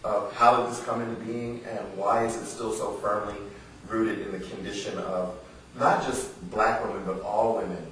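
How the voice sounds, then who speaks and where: American male author at a live reading, earnest and emphatic, lowish voice, very echoey room, distant mic. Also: level tone, normal speed, a bit bright. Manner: earnest, emphatic, rhetorical questions. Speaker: author giving a book reading. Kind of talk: public reading mixed with informal talk to an audience. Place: indoor literary salon before an audience. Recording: roomy echoing live venue, distant mic, faint noise.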